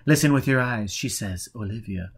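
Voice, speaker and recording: A man voicing a tormented burning man from an audiobook, in an anguished, halting, urgent way. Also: medium pitch, husky, natural voice. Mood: mocking, anguished.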